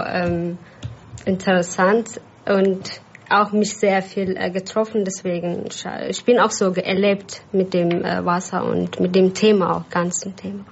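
Woman, slow, monotone, halting speech, muffled radio recording with faint ambience. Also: mid-range voice, slight background noise. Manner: very hesitant, searching for words. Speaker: guest presenter. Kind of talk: casual radio talk. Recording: dull lo-fi sound with faint background.

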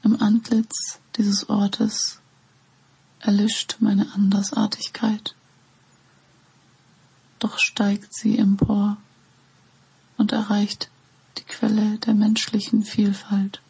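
A woman in her twenties whispering a poem slowly and flatly. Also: mid-range voice, slightly rough, low-quality recording. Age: 20s. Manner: whispering, flat and slow. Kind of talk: whispered poetry reading.

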